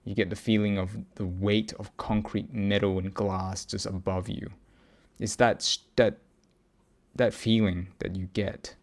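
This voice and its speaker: A man recording a reflective personal audio log, speaking haltingly as he searches for words and often pauses mid-thought. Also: lowish voice, flat delivery. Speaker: podcast host.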